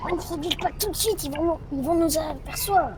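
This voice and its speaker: A nervous young male survivor, voiced by a French woman, urgent and scolding as he gives orders to stay hidden. His voice sits high. Clicking noises can be heard behind him. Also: a little fast, noisy background.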